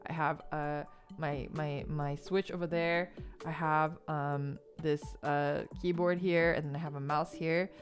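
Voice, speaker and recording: A woman streamer chatting casually from her home gaming room, rambling and giggling, with lots of ums while she lists her things. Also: lowish voice. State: stuffed up after a missed sneeze. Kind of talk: casual stream chat while building a model kit.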